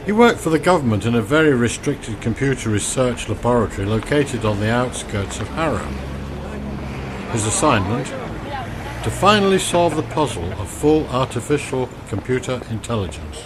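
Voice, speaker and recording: A man narrating a scripted radio drama in a theatrical, deliberate manner. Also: mid-range voice, animated, noisy background.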